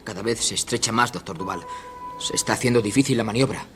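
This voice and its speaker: A man's voice: fairly low pitch, quick pace, fairly bright tone, soft background music, natural voice. An actor playing an alert, businesslike male pilot giving clipped reports in a radio drama. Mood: focused.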